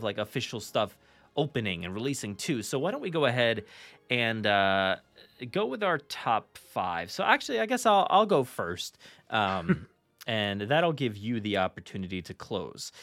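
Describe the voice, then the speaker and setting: A man's voice: medium pitch, very animated, moderate pace, a bit bright, dry recording. A man hosting a podcast in a casual, enthusiastic, chatty and informal way. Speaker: podcast host.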